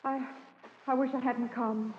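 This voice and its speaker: An actress playing a fearful, high-strung wife in a radio drama, speaking nervously and hesitantly. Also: female voice, steady tone, unhurried, natural voice. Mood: anxious dread. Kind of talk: 1950s radio drama acting. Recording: echoey, tinny, noisy old radio recording.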